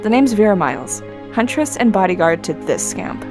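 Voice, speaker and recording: A woman voicing a playful, teasing young huntress and bodyguard in an animated fan series. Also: mid-range voice, normal speed. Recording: background music under the voice.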